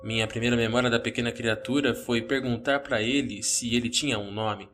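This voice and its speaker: A man's voice: mid-range voice, steady tone, brisk, a bit bright, faint music behind. A man with a Brazilian accent, speaking as the narrator and host of a horror story podcast.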